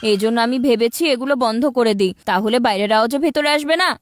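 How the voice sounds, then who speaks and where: A woman's voice: a little high, quick pace, slight background noise, lightly acted. A woman voicing a bossy, mischievous schoolboy, the older brother, in a children's cartoon, with exaggerated scolding delivery. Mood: innocent, explaining.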